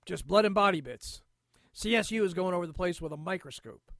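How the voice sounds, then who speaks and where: High-pitched, gravelly, blunt police detective. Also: male voice, expressive, fairly quick, fairly bright tone, dry recording, studio quality. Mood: blunt, probing. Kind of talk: audio drama acting.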